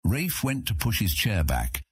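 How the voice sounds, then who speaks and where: A man narrating a fantasy audiobook in an expressive, scripted storytelling style. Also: fairly low pitch, measured pace, breathy edge, no echo.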